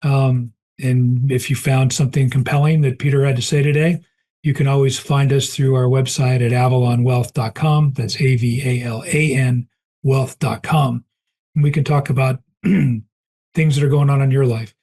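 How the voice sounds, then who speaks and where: Middle-aged male podcast host with a hoarse voice, casual and chatty in a wrap-up, mid pitch. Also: steady tone, moderate pace, mellow. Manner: chatty, many fillers and throat clearing. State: losing his voice.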